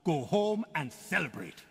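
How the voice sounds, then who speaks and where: A man playing a kindly, fatherly police commissioner in a radio drama, halting and formal as he encourages. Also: fairly high pitch, big pitch swings, vocal fry, breathy edge, in a large hall, voice pushed up, exaggerated voice. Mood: kindly, encouraging. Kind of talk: old-time radio style dramatic acting.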